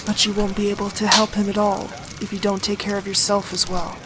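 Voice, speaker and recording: Kindly fire-creature barman, audio drama, female actor: mid-pitched, even, measured, caring. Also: heavy background noise, natural voice. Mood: gently concerned. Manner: measured, gently concerned.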